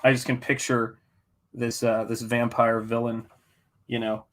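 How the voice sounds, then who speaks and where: A man's voice: mid-range voice, flat delivery, measured pace. Middle-aged man chatting on a casual livestream. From United States. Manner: rambling, jokey, self-deprecating. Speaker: artist hosting a live painting stream. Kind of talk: casual livestream chat while painting.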